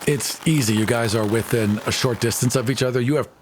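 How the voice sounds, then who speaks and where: A man narrating a tabletop game as game master, calm and descriptive, often breaking into laughter. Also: medium pitch, a little fast, background noise.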